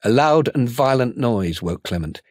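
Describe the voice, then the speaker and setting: A man narrating an audiobook in a scripted, dramatic style, with measured, suspenseful delivery. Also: mid-range voice, expressive, darkish tone.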